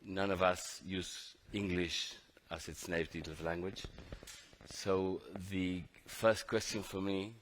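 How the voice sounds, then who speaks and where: Low-voiced Argentine man moderating a panel. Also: steady tone, fairly bright tone, a little hiss or hum, poor audio. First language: Spanish. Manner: hesitant, halting phrasing.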